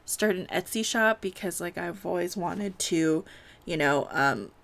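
A woman's voice: lowish voice, unhurried, vocal fry, fairly bright tone. Rambling young woman podcaster, casual. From United States. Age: late 20s. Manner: rambling, laughs often, swears casually, many "like" fillers. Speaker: podcast host. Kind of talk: casual solo podcast chat.

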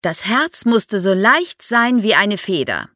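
Female expert guest for an explainer podcast, lively intonation, vivid storytelling manner, very dry studio sound. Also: medium pitch, slow pace, dry recording. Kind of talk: explanatory podcast interview for young listeners.

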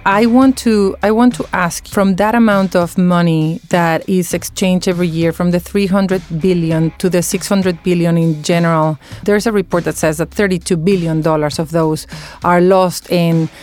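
A woman's voice: fairly low pitch, fairly even intonation, moderate pace, a little mellow, soft background music. Woman presenter, probing interviewer. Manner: engaged, probing questions. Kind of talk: interview questions and scripted narration.

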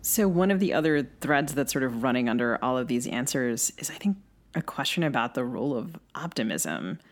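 A woman co-hosting a podcast, posing long, careful and thoughtful questions in a measured way. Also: a bass voice, lively intonation. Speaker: podcast co-host.

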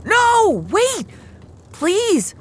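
A terrified, wounded man acted out by a woman narrator pleads in an audio thriller, the pitch lurching upward in anguish over a music bed. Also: sing-song, drawn-out words, heavily acted. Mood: desperate begging. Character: desperate injured man begging for his life.

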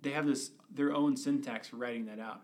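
A man's voice: medium pitch, fairly even intonation, fairly quick, a little mellow. A man co-hosting a podcast who patiently explains coding documentation step by step in casual chat. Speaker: podcast co-host, experienced developer.

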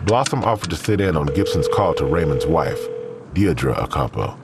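Very deep-voiced male crime-drama narrator. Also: expressive, normal speed, heavy background noise.

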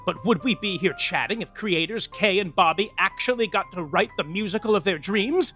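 High-pitched, catty theatrical narrator. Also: male voice, lively intonation, moderate pace, sharp tone, faint music behind. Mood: arch, teasing. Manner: arch, theatrical, playfully sarcastic. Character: gossipy, teasing, catty storyteller of backstage Broadway. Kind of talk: audio comedy narration.

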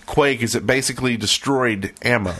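A man's voice: mid-range voice, normal speed, a bit bright, slightly rough. A man talking casually as a podcast host, sometimes reading bits aloud.

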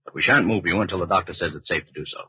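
An actor playing a firm, courteous FBI agent with a clipped, official manner in a 1940s radio drama. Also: male voice, low-pitched, rapid-fire, slightly rough, no echo. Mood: businesslike. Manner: clipped, official, matter-of-fact. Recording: muffled old radio broadcast recording.

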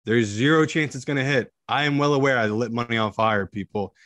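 Male betting analyst and co-host. Medium pitch, blunt, opinionated, repeats for emphasis. Dry, close recording. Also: a little fast, a bit bright, dry recording. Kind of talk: casual sports betting chat.

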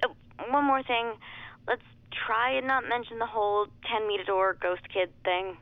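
A woman's voice: on the high side, little pitch movement, normal speed, dry recording. An actress playing a determined, matter-of-fact woman investigator, speaking naturally through a telephone filter in an audio drama. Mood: brisk, planning. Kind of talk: naturalistic audio fiction acting. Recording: tinny, dry phone-line sound.

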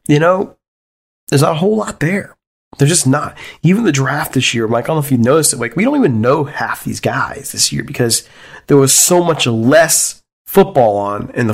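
A man's voice: on the high side, expressive, moderate pace, creaky, breathy edge. A man hosting a casual podcast chat, easygoing and chatty, asking his co-host open questions.

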